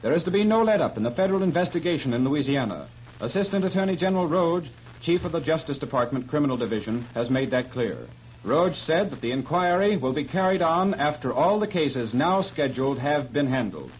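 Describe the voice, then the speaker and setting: Brisk 1930s radio news announcer. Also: male voice, on the high side, lively intonation. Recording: dull old radio broadcast with faint hiss.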